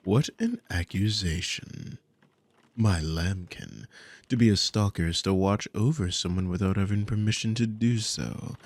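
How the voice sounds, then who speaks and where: A man's voice: sits low, a little slow, dry recording. A man playing a dominant, possessive demon in an ASMR roleplay, mockingly amused and condescending, with teasing, formal, theatrical speech. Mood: mocking, menacing. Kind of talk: ASMR audio roleplay spoken to the listener.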